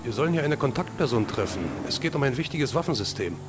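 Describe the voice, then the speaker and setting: A man playing an easygoing, businesslike hero in an audio drama, talking in a casual, matey, brisk way. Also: mid-range voice, lively intonation, very noisy, natural voice. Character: easygoing, businesslike male action-series hero.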